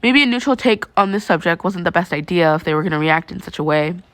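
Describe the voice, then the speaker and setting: A woman reads a piece of fan fiction aloud. Her voice sits a little low, and she narrates with expression. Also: expressive, fast, fairly bright tone, no room sound.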